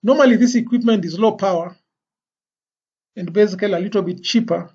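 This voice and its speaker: An instructor giving an explanatory lecture in a deliberate, phrase-by-phrase way with pauses. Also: medium pitch, dark tone, husky. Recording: dull, lo-fi voice recording.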